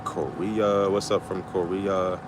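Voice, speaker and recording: A man's voice: sits low, level tone, slow pace, background noise. A middle-aged man from Trinidad with a Trinidadian accent, rambling bluntly and swearing freely on a casual livestream. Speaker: livestream host.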